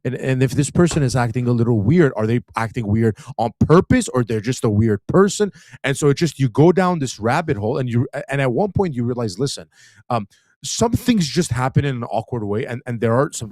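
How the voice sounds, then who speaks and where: A man co-hosting a casual livestream, thinking out loud in a rambling way with many fillers and restarts. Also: mid-range voice, dry recording. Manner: rambling, full of "like" and restarts, animated impressions. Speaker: livestream co-host.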